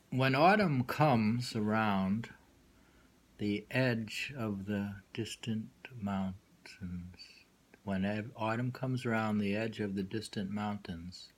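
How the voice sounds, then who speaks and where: A man reading poems aloud with informal commentary, unhurried and musing, leaving pauses as he goes. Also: lowish voice. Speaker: poetry reader.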